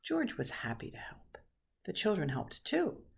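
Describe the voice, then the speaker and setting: A woman reading a children's picture book aloud expressively, with dramatic pauses and hushed asides. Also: fairly low pitch, wildly swooping pitch, gravelly, husky. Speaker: storybook reader.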